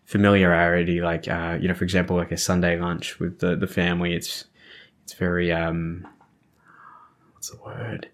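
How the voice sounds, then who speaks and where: A man's voice: low-pitched, monotone, moderate pace. An Australian man hosting a podcast, chatting casually and rambling, often restarting and searching for words. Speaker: podcast host. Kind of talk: casual chat.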